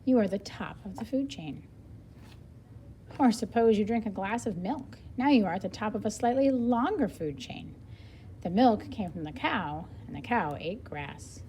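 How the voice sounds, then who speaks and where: A woman's voice: mid-range voice, expressive, normal speed, mellow, slight background noise. A woman reading a children's nonfiction book aloud like a teacher, explaining as she goes for young learners. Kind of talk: read-aloud of nonfiction picture book with brief explanatory asides.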